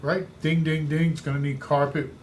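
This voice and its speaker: A man with a big booming voice coaching real estate agents, speaking emphatically and repeating his key points. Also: little pitch movement, moderate pace, a little mellow, slight background noise. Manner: emphatic, repetitive coaching delivery. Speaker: real estate sales trainer. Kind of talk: instructional podcast talk.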